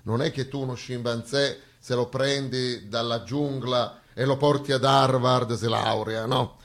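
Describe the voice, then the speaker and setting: Gravelly man, sarcastic stage monologue. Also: medium pitch. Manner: sarcastic, emphatic, with rhetorical questions and pointed pauses. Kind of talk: satirical theatrical monologue.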